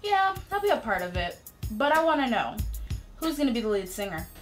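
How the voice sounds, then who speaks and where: A woman's voice: fairly high pitch, very animated, a little fast, soft background music. A woman playing a defiant singer who insists she will be the lead, in a small studio. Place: small dark recording studio.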